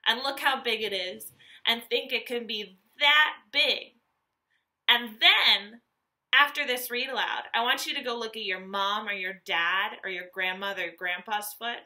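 A woman reads aloud to young children in a teacher's animated manner. Her voice is fairly high and strikingly bright. She takes her time, enunciating each point deliberately for small listeners. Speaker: elementary school teacher. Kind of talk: children's nonfiction read-aloud lesson.

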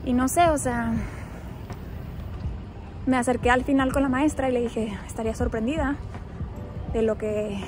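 A woman's voice: on the high side, steady tone, moderate pace, pauses often, over music. A young woman with a Mexican accent, talking casually to her phone while she walks across campus, upbeat and full of fillers. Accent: Mexican Spanish. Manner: chatty, upbeat, many fillers while walking. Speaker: vlogger. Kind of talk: casual vlog chat. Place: outdoors on a campus while walking, later a home kitchen. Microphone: phone at arm's length.

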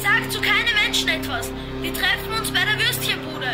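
A woman playing a plucky, brisk young boy adventurer in a children's audio drama, using short, direct phrases. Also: very high voice, expressive. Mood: urgent. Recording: loud music behind the voice.

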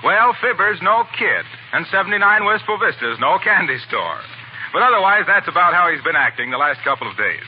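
A man's voice: high voice, sing-song, fairly quick, slight background noise. An American man announcing and narrating a scripted 1940s radio show. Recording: muffled old radio broadcast with music.

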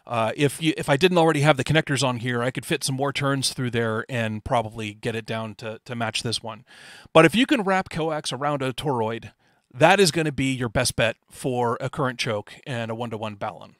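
A man's voice: medium pitch, fairly quick, a bit bright, dry recording, studio quality. A middle-aged man giving an informal technical slide presentation in a plain-spoken, explanatory way. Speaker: amateur radio presenter.

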